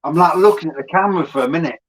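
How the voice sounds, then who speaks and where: A man's voice: fairly high pitch, racing, low-quality recording. A man, a beer reviewer, chatting casually as a guest on a stream, swearing freely and laughing as he tells stories.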